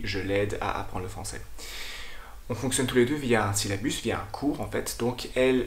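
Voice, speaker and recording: A man, a language teacher and channel host, talking to camera in a thoughtful, explanatory way, often pausing to hesitate. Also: fairly low pitch, reverberant. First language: French. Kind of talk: casual explanatory talk to camera.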